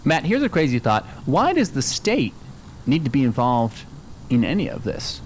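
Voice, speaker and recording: A man's voice: mid-range voice, huge pitch swings, moderate pace, a little hiss or hum. An actor in an improvised comedy radio play playing an earnest, progressive family father who reassures and lectures, often checking for agreement. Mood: earnest preaching. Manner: reassuring but lecturing, seeks agreement with "right?".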